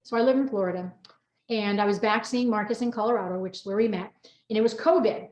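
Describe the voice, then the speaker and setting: A woman's voice: medium pitch, quick pace, a little mellow. A middle-aged American woman chatting casually as a host, talkative and rambling as she tells stories with quoted asides. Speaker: host and book co-author. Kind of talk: casual personal chat. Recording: echoey room, slightly dull audio.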